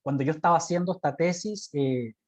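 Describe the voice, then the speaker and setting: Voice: mid-range voice, a little fast, darkish tone. A lecturer with a Chilean accent presenting informally from slides over a video call, speaking hesitantly and often seeking agreement with little tag questions. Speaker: guest lecturer. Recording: muffled lo-fi video call audio.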